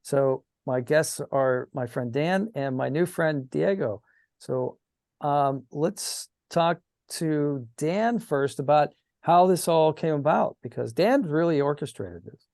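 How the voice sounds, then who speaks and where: A man's voice: on the high side, slow pace, gravelly, a little mellow, no echo, clean studio sound. A man hosting a casual three-person podcast conversation, friendly and a little hesitant. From Pittsburgh, Pennsylvania. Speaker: podcast host.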